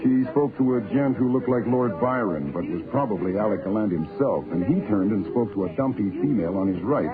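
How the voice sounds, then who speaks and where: A man's voice: fairly low pitch, expressive, fairly quick, few pauses, lightly acted. An actor playing a wry, wisecracking private detective who narrates his case dryly in a radio drama. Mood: wry, amused. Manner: dry, sardonic. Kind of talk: hardboiled first-person radio drama narration. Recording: tinny band-limited old radio, music behind.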